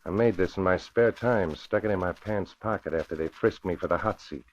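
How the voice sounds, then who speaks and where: A muffled old radio crime drama voice. a scheming escaped convict, low in pitch, who talks in cocky, vengeful gangster slang. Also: male voice, fairly quick. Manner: slangy wise-guy gangster talk. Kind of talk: 1940s radio acting.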